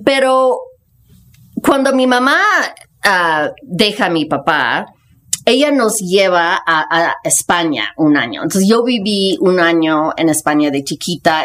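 A woman's voice: deep voice, fairly bright tone. A woman in her fifties from the United States, a podcast guest, speaks Spanish with an American accent. She talks frankly in casual slang and drops English words into her speech. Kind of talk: casual conversational storytelling.

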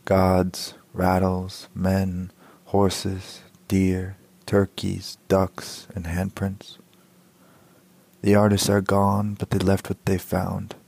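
A man reciting a poem in a measured, solemn manner. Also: deep voice, monotone, vocal fry, darkish tone, no room sound. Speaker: poet. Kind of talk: poetry recitation.

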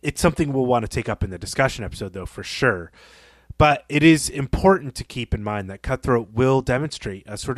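A man co-hosting a literary podcast, discussing a story analytically with thoughtful, measured phrasing. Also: mid-range voice, no room sound. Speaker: podcast co-host.

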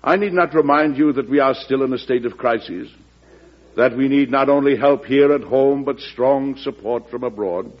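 An actor playing a shrewd, folksy American president with wry humour, speaking deliberately and oratorically in 1940s radio drama style. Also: male voice, medium pitch, natural voice. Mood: stately, persuasive. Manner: oratorical, deliberate, chuckling in private talk. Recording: dull old radio recording, husky sound.

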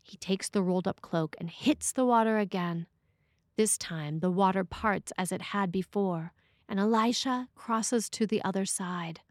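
A woman reads a prepared sermon in a dry, echo-free recording. Her delivery is measured and expressive, with the deliberate phrasing of a priest. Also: mid-range voice. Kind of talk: scripted sermon, read aloud.

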